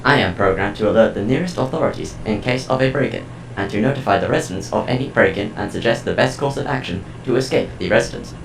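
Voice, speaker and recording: A cold household artificial intelligence played by a man speaks low and very gravelly. It rattles out formal, precise phrases without emotion, echoing over music in an audio drama. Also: fast, hardly any pauses. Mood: flat, formal.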